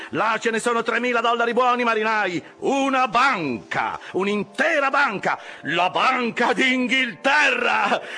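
A man voicing an excitable, greedy whaler who begs his rowers over and over in an audiobook story. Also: high voice, pitched above the performer's own voice, heavily acted. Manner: breathlessly begging, exclamatory, repetitive.

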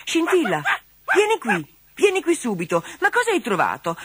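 A woman's voice: sits high, wildly swooping pitch, moderate pace, slightly rough, voice pushed up, heavily acted. A woman voicing a caring young girl who calls out and coaxes in a children's story. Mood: tender. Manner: bright, coaxing, emphatic.

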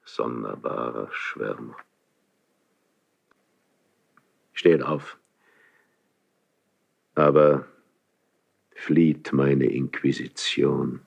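A proud aging monarch in classical radio drama. His voice sits low, and he speaks with measured weight and pauses, commanding yet lonely and mistrustful. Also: fairly even intonation, gravelly, darkish tone, full character voice. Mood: grave, musing. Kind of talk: 1970s German radio play, classical verse acting.

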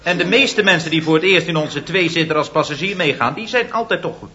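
An actor playing a cheerful flying instructor who talks briskly and chummily, deferring to his boss. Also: on the high side, sharp tone, dull audio. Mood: chummy, teasing. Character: eager, cheerful young male flying instructor. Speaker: pilot and flying instructor Paul. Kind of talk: 1960s radio comedy serial.

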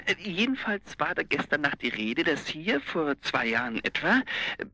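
An actor playing an informal police colleague in a radio crime drama, reporting piece by piece in a matter-of-fact way. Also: male voice, on the high side, very animated, moderate pace, pushed and bright, exaggerated voice.

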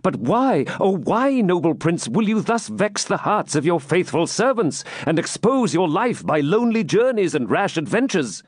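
A man acting a high, pleading young knight. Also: dry recording, studio quality. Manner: earnest, pleading, formal archaic speech. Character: loyal, anxious young male knight pleading with his king. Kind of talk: dramatic storybook reading.

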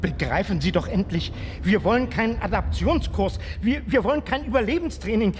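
A man's voice: high-pitched, lively intonation, brisk, background noise. An actor playing an insistent, middle-aged husband and father who stammers when flustered, in a German science-fiction radio drama. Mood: indignant.

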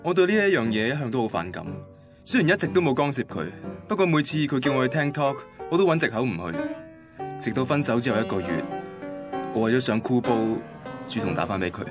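A man acting as a heartbroken young man tells of his first love in a radio play. His Hong Kong Cantonese voice moves up and down freely, wistful and self-deprecating, with music clearly playing underneath. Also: medium pitch, animated, quick pace, natural voice. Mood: bitter, reflective. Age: young adult. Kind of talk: radio drama narration and dialogue.

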